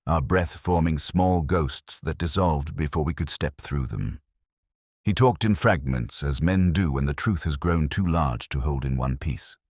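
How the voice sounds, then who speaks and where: A man playing a melancholy detective who recalls a case in measured, literary first-person mystery narration. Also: rumbling low, no room sound, studio quality, full character voice. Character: melancholy older male English police detective recalling a case. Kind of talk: scripted first-person mystery narration, radio drama style.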